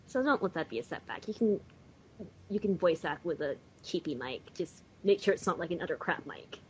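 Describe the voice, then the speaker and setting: A woman's voice: fairly low pitch, lively intonation, brisk, a little mellow, slight background noise. A woman chatting casually as a panelist on a podcast, recorded on a dull lo-fi voice chat. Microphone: USB or desktop mic covered with a sock.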